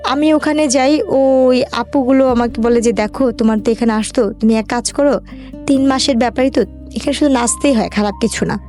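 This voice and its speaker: A young woman with a Bangladeshi accent and a fairly high voice tells a personal story as an interview guest. She talks colloquially, chaining events and quoted exchanges together. Faint music plays behind her. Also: dark tone. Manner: colloquial, run-on narration with quoted dialogue.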